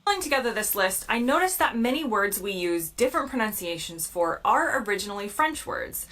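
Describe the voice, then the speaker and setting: A woman's voice: medium pitch, big pitch swings, fairly quick, fairly bright tone. A woman with an American accent, narrating a scripted explainer with upbeat, playful emphasis. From United States. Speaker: video creator. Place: played from a video.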